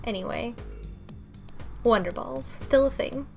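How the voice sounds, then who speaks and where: A woman's voice: a little high, measured pace, vocal fry, a little mellow. A woman co-hosting a casual group chat, recapping a visual novel story. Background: background music.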